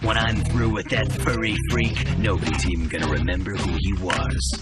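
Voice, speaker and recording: An actor playing a swaggering, menacing champion fighter in a game, boastful and threatening. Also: male voice, sits low, level tone, normal speed, over loud music, close to an everyday voice.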